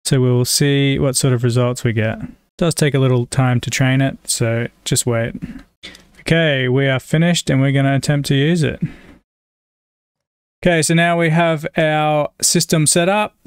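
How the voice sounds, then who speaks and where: A man with an Australian accent, giving a casual software tutorial as a voice-over. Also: mid-range voice, steady tone, moderate pace, smooth, dry recording. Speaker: tutorial presenter.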